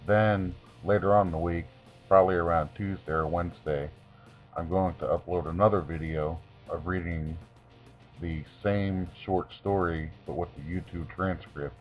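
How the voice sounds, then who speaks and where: Low-voiced man, theatrical and deliberate. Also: steady tone. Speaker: video creator reading own short stories. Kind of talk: dramatic reading of a comic short story. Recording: dull lo-fi sound with music behind.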